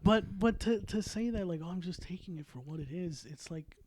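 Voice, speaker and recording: A young man co-hosting a podcast in casual chat, animated and swearing freely. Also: high voice, fairly quick. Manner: animated, swears freely, acts out film quotes. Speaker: podcast co-host.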